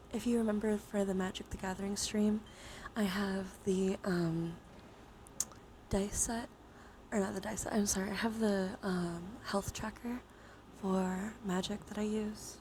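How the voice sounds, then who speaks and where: Unhurried, creaky-voiced woman streamer. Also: medium pitch, fairly even intonation, darkish tone, a little hiss or hum. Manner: casually sweary. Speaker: live streamer hosting a chat dice game. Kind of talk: casual live stream chat, ASMR.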